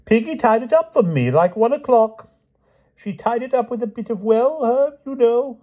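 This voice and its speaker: Giddy, dithering king for a storybook: very high, wildly animated, blustering and full of questions. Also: normal speed, husky, lo-fi sound, full character voice. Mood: excited. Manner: blustering, chatty, repetitive questioning. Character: giddy, excitable, dithering old-fashioned English king.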